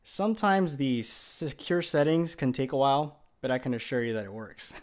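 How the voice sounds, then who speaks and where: A man's voice: medium pitch, normal speed. A man giving a casual tech demonstration as a video presenter, recorded indoors at home. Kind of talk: casual demo narration.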